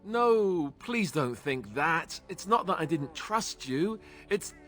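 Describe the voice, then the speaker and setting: An actor playing an awkward, earnest young warrior and reluctant heir in a video game, hesitant and self-deprecating. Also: male voice, on the high side, big pitch swings, takes it slowly, a bit bright, soft background music, heavily acted. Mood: apologetic, hesitant. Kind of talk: naturalistic video game acting.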